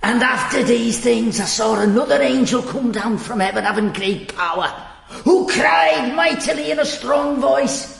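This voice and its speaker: In a very roomy echo, a childlike, devout drifter for radio drama. The voice is extremely high and crackly, full of simple, eager fervour. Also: male voice, lively intonation, unhurried, gravelly, fairly bright tone, heavily acted. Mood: fervent, awed. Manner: breathless scripture-quoting fervour, simple and eager. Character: excitable, devout, childlike former hospital patient living rough. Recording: very echoey, roomy space.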